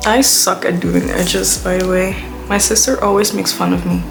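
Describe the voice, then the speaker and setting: A woman's voice: mid-range voice, fairly even intonation, moderate pace, creaky. Rambling young woman vlogging. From Belgium. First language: Dutch. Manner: chatty, rambling, heavy use of "like". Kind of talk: casual rambling vlog rant. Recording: music playing under the voice.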